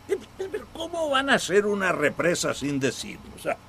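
Man playing a creaky-voiced, resigned father. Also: high voice, huge pitch swings, a little fast, a bit bright, slight background noise, full character voice. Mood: skeptical. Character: weary, resigned older father urging emigration. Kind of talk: radio drama acting.

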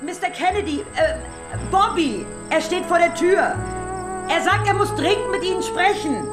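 An actress in a German radio drama playing a timid, apologetic housekeeper, hesitant and flustered, in an echoing space. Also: female voice, very high voice, expressive, moderate pace, music in the background. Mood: anxious. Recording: roomy, echoing sound.

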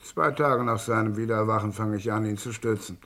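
An actor playing a cynical, gloating British financier in a vintage German crime radio drama, sardonic and theatrical. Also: male voice, lowish voice, a little fast, sharp tone. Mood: calculating. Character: cynical, gloating titled British financier scheming on the stock exchange.

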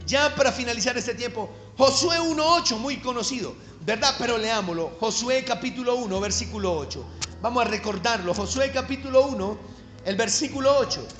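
A man with a coastal Colombian accent preaching a sermon to a congregation, emphatic and slowing down to stress key phrases. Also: very high-pitched, sharp tone. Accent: Colombian Caribbean coastal. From Caribbean coast of Colombia. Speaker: pastor. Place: church hall. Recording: echoey church hall.

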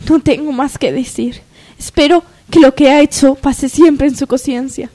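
A woman plays a wronged young working woman in a spoof radio soap. She is indignant and melodramatic, with a very high-pitched voice. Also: fairly even intonation, moderate pace, mellow, natural voice. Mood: bitter. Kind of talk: parody radio soap opera acting.

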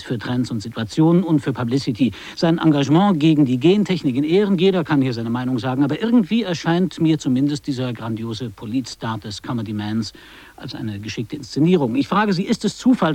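An actor playing a cool, skeptical radio gag writer and commentator in a 1980s radio play, speaking in a dry, pointed way. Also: male voice, medium pitch, dark tone, dry recording, natural voice.

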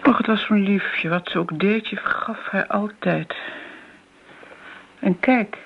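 An actress playing a genteel, self-righteous elderly woman, reminiscing and gently chiding in radio drama style. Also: medium pitch, takes it slowly, gravelly. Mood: wistful. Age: older. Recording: muffled old radio play, background noise.

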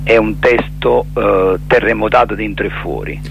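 A man giving a reflective radio interview as a theatre director, halting and emphatic, repeating words as he searches for terms. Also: lowish voice, creaky, muffled recording, slight background noise.

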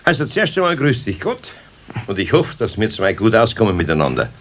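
A man playing a patient, gruffly kind older carpenter with a husky voice and Bavarian accent, admonishing in a homely way in a radio play. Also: fairly low pitch, sing-song, fairly quick. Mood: friendly, firm. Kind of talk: 1970s German children's radio play acting.

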